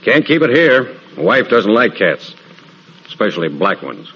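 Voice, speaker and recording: An actor playing a practical, plain-spoken innkeeper who humors a customer in an old radio drama, casual and deferential. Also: male voice, on the low side, big pitch swings, normal speed, a bit bright, slightly rough, exaggerated voice. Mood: offhand. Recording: dull old radio recording.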